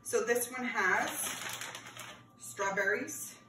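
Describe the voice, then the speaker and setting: A woman's voice: a little high, slow. A woman in her thirties hosting a cooking class for children in a home kitchen. She explains each step as she works and calls her viewers friends. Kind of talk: cooking demonstration for kids. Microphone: camera across the counter, no visible mic. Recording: roomy, distant camera audio with background noise.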